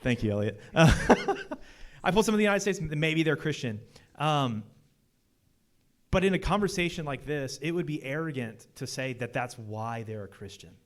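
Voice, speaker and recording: A man's voice: on the high side, animated, moderate pace. A man giving an earnest, unscripted answer as a panelist in a debate, repeating words as he goes.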